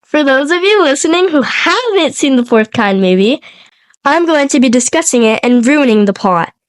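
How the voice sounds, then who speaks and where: A woman's voice: high-pitched, animated, normal speed, no echo. A young woman, congested from a cold, co-hosting a podcast and telling a story in a partly scripted, partly chatty way. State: sick with a cold. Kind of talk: podcast storytelling, part scripted, part casual chat.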